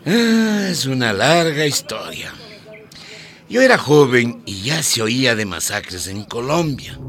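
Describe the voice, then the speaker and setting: A man's voice: medium pitch, sing-song, slow, a bit bright. An actor playing a chatty old Ecuadorian grandfather in a radio drama, telling things in a folksy way full of exclamations. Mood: storytelling. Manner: folksy storytelling with diminutives and exclamations.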